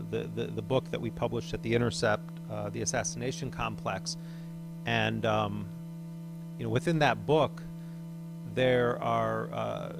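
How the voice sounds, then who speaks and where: A gravelly-voiced man speaks at a panel before a hall audience, his delivery full of fillers and stop-start phrasing. A loud musical hum runs under his voice throughout. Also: on the low side. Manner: halting, many fillers and restarts; says he has a loud voice. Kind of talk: unscripted speech at a public panel.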